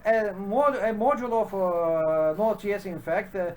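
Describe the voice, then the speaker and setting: A man's voice: high-pitched, darkish tone. Hesitant man with a Turkish accent. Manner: halting, heavy with "uh" fillers and restarts. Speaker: programming channel host. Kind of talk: unscripted solo commentary.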